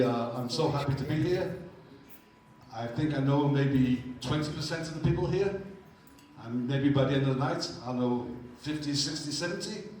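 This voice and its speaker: A man's voice: medium pitch, darkish tone, a little hiss or hum. An older man from England with a Liverpool accent, giving a humorous impromptu speech as a veteran club member at an evening party. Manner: humorous, self-deprecating. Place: large hall at an evening party. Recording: echoey hall from far off.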